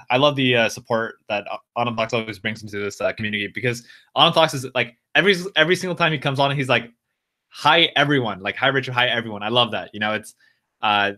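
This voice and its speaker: Brief: American man, live stream host, bright forceful voice, mid pitch, casual and rambling. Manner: talks straight to viewers, reads out and answers chat comments; loose, rambling, full of restarts and fillers.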